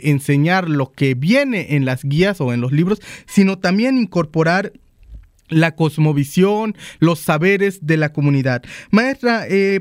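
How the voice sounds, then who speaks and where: A man asking an interviewer's questions in a measured, polite way. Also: high-pitched, no room sound, clean studio sound.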